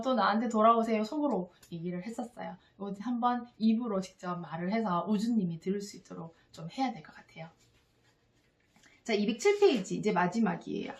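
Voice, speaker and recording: A woman giving a casual, earnest and encouraging spoken book review to viewers as a vlogger. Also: on the high side. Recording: echoey room.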